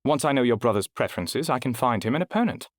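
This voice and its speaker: A man voicing a polished female fight registrar with a smooth accent, asking brisk formal questions. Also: mid-range voice, animated, no room sound, studio quality, voice pushed up, natural voice. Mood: explaining.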